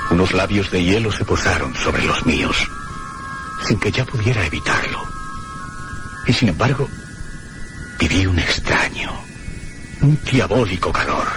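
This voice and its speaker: Low-voiced, haunted horror narrator. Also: male voice. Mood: haunted, solemn. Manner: solemn, suspenseful, deliberate dramatic phrasing. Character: male narrator haunted by terror, solemn, dramatic. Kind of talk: radio horror drama narration. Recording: noisy radio broadcast recording.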